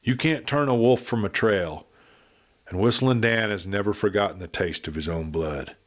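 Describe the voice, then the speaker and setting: A man's voice: fairly low pitch, moderate pace, natural voice. A man voicing a blunt, grim cowboy in a western audiobook, with rustic frontier talk.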